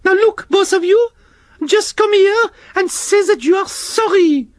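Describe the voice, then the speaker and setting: A very high, fussy female snail with a lilt tells off two squabbling friends. Her voice is proper and stern, in a children's storybook reading. The recording is dry and close, with no room sound. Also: measured pace, a little mellow, no echo. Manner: scolding, proper. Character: prim elegant female French snail.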